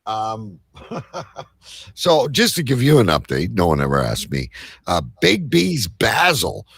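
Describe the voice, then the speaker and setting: Deep, gravelly man bantering on air. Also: huge pitch swings, takes it slowly, a bit bright, no room sound. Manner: blunt, joking. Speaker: radio show host. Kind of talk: casual banter with swearing.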